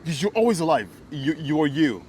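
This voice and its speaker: A man's voice: a little high, very animated, fairly quick, darkish tone, slight background noise. A man from Kinshasa co-hosting a casual conversation, earnest and emphatic, often repeating words as he builds a point. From Kinshasa, Congo. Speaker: co-host.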